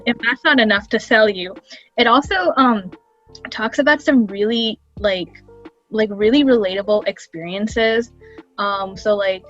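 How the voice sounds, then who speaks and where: A woman's voice: mid-range voice, fairly bright tone, lo-fi sound. A woman co-hosting a casual podcast chat, gushing and enthusiastic, leaning heavily on "like" as she talks. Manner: gushing, enthusiastic, heavy use of "like". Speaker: podcast co-host.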